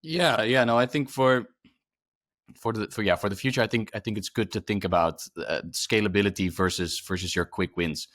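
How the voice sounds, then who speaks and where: A man's voice: lowish voice, normal speed, fairly bright tone, dry recording, studio quality. A man giving informal advice as a webinar panelist, hesitant and repeating words as he searches for the next one.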